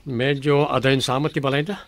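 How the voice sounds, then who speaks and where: A man's voice: medium pitch, level tone, a little fast, close to an everyday voice. A man in a radio drama playing an easygoing, affectionate young husband who talks mildly. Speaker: office worker husband.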